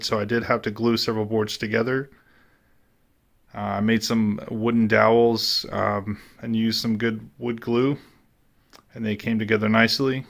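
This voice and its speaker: A man's voice: medium pitch, gravelly, a bit bright. A man giving a casual voice-over tour of his statue collection room, plain and matter-of-fact with frequent filler words.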